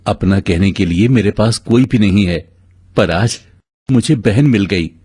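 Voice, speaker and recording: A man voicing a kind, mysterious stranger who is earnest and reassuring, in an animated moral story. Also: fairly low pitch, unhurried, husky, dry recording, clean studio sound. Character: kind, mysterious male stranger turned devoted adoptive brother.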